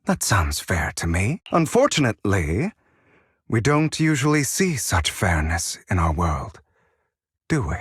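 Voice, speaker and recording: An actor playing a suave, sly gentleman in a video game, courteous and teasing, with elegant, theatrical delivery and drawn-out words. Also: fairly low pitch, huge pitch swings, slightly rough, no echo, clean studio sound, full character voice.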